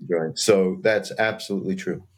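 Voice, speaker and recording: A man in a casual podcast conversation, speaking in a relaxed, agreeable way. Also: low-pitched, normal speed.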